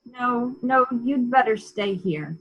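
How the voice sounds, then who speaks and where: Woman acting a husky, concerned lady. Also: fairly high pitch, animated, slow pace, fairly bright tone, lo-fi sound, heavily acted. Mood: anxious, concerned. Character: concerned household lady facing bad news. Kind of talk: amateur murder mystery radio play acting.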